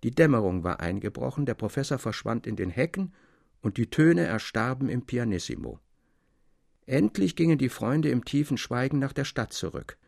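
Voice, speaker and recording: A man reading literary prose aloud as a story narrator, with a creaky voice and a formal, expressive delivery. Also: mid-range voice, no echo, studio quality. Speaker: audiobook narrator.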